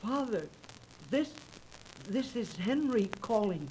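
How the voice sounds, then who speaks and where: A man's voice: high-pitched, sing-song, takes it slowly, mellow, full character voice. An actor playing an earnest, credulous businessman speaking hesitantly and reverently in a 1930s radio drama. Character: earnest, credulous businessman son addressing dead father. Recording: noisy 1930s radio transcription recording.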